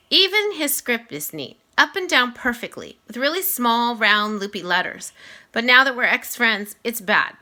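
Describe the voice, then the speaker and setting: A woman reading a children's novel aloud in expressive first-person narration, shifting delivery for dialogue and asides. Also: a little high.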